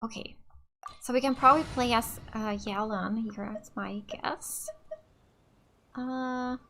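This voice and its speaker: High-pitched, slow-talking Finnish woman gaming. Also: steady tone, fairly bright tone, a little hiss or hum. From Finland. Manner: thinking aloud, tentative. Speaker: gaming streamer. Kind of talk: casual let's play commentary.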